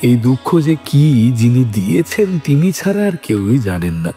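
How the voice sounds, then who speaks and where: A man reading scripted literary narration as a storyteller, in a measured manner. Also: mid-range voice, very animated, dark tone. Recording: music bed behind the voice.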